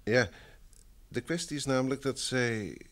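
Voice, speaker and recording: A man's voice: medium pitch, unhurried, a bit bright, close to an everyday voice. An actor in an old Dutch radio play, playing a polite, wealthy businessman, earnest and troubled, with a formal, courteous manner. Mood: grave, troubled.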